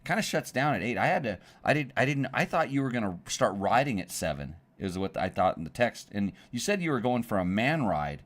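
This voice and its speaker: A middle-aged man hosting a relaxed conversation, friendly and prone to stumbling restarts. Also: mid-range voice, a little fast, few pauses. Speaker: host. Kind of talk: casual chat.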